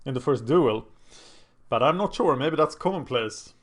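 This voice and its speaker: A man with a Swedish accent talks through his card game as he plays, thinking aloud in a chatty way. His voice sits at an ordinary middle pitch. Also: expressive, normal speed.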